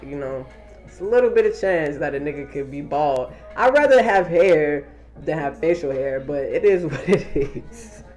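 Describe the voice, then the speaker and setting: A man's voice: on the high side, a little mellow. A young man talking casually to a camera held at arm's length in a small wood-paneled room, using loose slang and swearing freely. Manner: laid-back slangy talk, swearing. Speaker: vlogger. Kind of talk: casual vlog update to camera.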